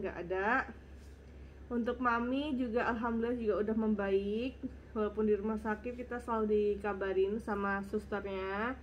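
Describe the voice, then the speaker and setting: A woman's voice: on the high side, muffled recording, a little hiss or hum. A young woman vlogger with a congested, slightly nasal voice, chatting casually through viewer questions and a little short of breath. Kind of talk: casual Q and A chat.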